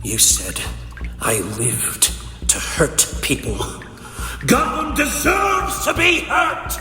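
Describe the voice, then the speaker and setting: A man's voice: very high voice, wildly swooping pitch, slow pace, exaggerated voice. A man playing a bitter, grief-stricken, vengeful ice supervillain in a comic dub, with theatrical, brooding delivery. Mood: furious, anguished. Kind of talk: dramatic comic dub acting. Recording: echoing reverb, music underneath. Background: music.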